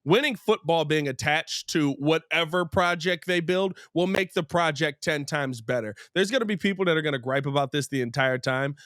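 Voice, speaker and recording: Casting: American male sports podcast host, slightly high register, casual, emphatic, rhetorical questions, very dry recording. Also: moderate pace, few pauses, fairly bright tone, dry recording. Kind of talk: casual opinionated sports chat.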